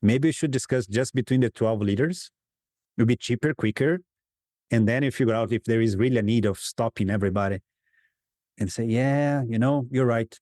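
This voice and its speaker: A man's voice: medium pitch, brisk, darkish tone, dry recording, studio quality. A man, a guest facilitator in a conversational interview, earnestly telling stories and acting out his clients' replies, laughing often.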